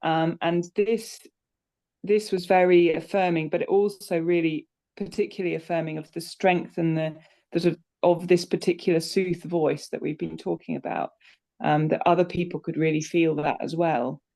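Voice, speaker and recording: A woman's voice: fairly low pitch, steady tone, moderate pace, vocal fry, dark tone. A woman chatting reflectively on a live online call, hesitant and repeating words as she thinks. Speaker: co-creator speaking on a live audience call. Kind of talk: casual reflective conversation.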